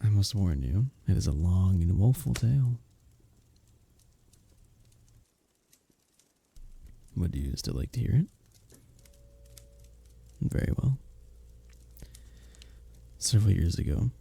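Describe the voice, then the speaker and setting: Man voicing a very dark-toned gentle medieval innkeeper. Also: deep voice, lively intonation, gravelly, breathy edge, full character voice. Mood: solemn, earnest. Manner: formal old-world phrasing, soft-spoken, measured. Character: gentle, flattered medieval village innkeeper man, fond and earnest. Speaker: audio roleplay performer. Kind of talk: ASMR roleplay, intimate one-sided conversation.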